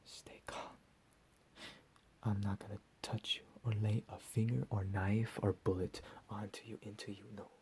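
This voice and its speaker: A man playing a deranged, menacing captor in a whispered ASMR roleplay, profane and slow with drawn-out emphasis. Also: fairly low pitch, little pitch movement, a little mellow, a little hiss or hum, natural voice. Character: deranged menacing male captor, recently escaped asylum.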